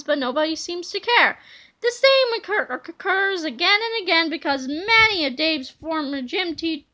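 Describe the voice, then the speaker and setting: A woman reading a children's storybook aloud in an animated, dramatic way. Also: very high-pitched. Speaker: storybook reader. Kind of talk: expressive children's book read-aloud.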